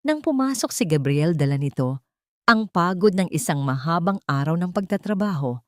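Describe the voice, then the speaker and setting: A woman's voice: on the low side, expressive, moderate pace, darkish tone, dry recording, studio quality. A woman storyteller reading a scripted dramatic narration in a solemn, emotive, literary manner.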